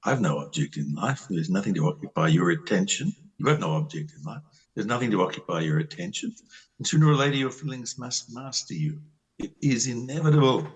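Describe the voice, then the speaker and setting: A man playing a disillusioned, lovelorn country doctor in an amateur play reading, with a husky voice and a theatrical, halting delivery. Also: on the low side, animated, far from the mic, poor audio. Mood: pleading, intense.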